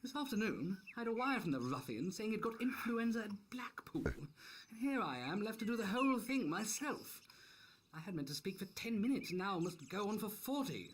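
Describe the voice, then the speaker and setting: A man voicing a hearty, flustered young upper-class English gentleman, full of effusive apologies and old-fashioned exclamations, as he reads a novel aloud. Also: high voice, big pitch swings, moderate pace, a little hiss or hum, voice pushed up. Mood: pleading. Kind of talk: acting out a character while reading a novel aloud.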